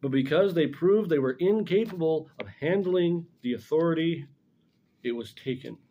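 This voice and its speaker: A middle-aged man giving an informal religious lesson as a scripture commentator, explaining things deliberately and correcting himself as he goes. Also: fairly high pitch, lively intonation, muffled recording, a little hiss or hum. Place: small indoor room in front of a blackboard.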